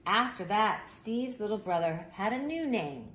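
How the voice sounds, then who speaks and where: Female storybook reader, lively and expressive pitch movement, children's picture book read-aloud, recorded in an echoey room with faint noise. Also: measured pace. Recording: roomy echo, faint background noise.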